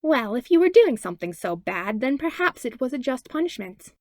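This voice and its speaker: A woman's voice: high voice, big pitch swings, brisk, darkish tone, no room sound, studio quality, voice pushed up. A woman voicing a smug male lawyer in a fan fiction audiobook, asking pointed, insinuating courtroom questions. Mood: needling.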